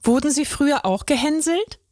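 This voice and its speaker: Storybook kid: timid young straw boy, voiced by a woman, high and earnest, dry studio sound. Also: lively intonation, moderate pace, darkish tone, no room sound. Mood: earnest, shy. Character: shy young boy drinking straw, colorful. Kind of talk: children's story reading.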